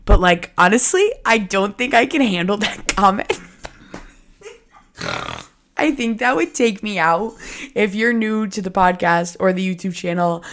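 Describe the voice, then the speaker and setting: A woman's voice: mid-range voice, measured pace, pauses often, fairly bright tone. A woman in her early twenties hosting a solo podcast, talking candidly and casually with frequent laughs and lots of "like" fillers. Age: early 20s. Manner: chatty, candid, laughs often, many "like" fillers. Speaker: podcast host.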